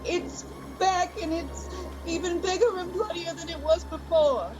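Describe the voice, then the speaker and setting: A woman's voice: very high voice, steady tone, a little slow, over loud music. A woman playing an eager, obedient young son in a radio play. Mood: anxious, excited. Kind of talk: theatrical radio play acting.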